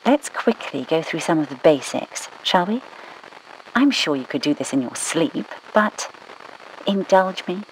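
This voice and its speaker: A woman voicing a brisk British spymaster who gives mission orders over the radio in a dry, commanding manner, with a British accent. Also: sits low, lively intonation, slight background noise, low-quality recording. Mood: briefing. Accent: British English. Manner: clipped, dry, commanding, scolding. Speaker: mission controller. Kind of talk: game voice lines.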